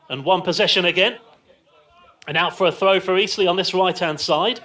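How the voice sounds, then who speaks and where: A man commentates live on a football match for radio. His voice sits a little higher than average. He speaks in short, descriptive bursts that follow the ball, calling it from a stand at the ground. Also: pushed and bright. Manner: short clipped descriptive phrases, lifting with the play.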